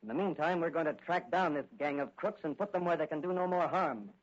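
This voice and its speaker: A confident young cop hero from a 1940s radio serial, played by a male actor. He talks fast in a slightly high, take-charge voice. The old recording is dull and muffled. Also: close to an everyday voice. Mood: confident, determined. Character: confident, brisk young police officer and masked crime-fighter.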